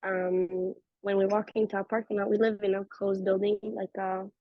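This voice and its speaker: A woman's voice: medium pitch, dry recording. A woman from Israel with an Israeli accent, telling personal stories in a casual talk and acting out the people she quotes. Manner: fast, animated, acts out quoted voices. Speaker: interview guest. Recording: dull lo-fi recording.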